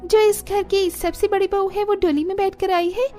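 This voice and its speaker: A woman voicing a spoiled, snobbish modern young woman with an affected drawl in an animated family drama. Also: very high voice, moderate pace, faint music behind. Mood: disdainful. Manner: affected, drawling, mixes in English phrases.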